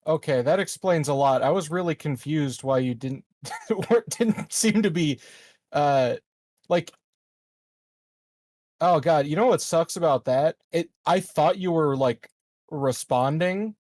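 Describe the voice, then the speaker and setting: A man's voice: fairly high pitch, unhurried, a little mellow, dry recording, studio quality. A man playing an online tabletop roleplaying game, chatting casually with the other players.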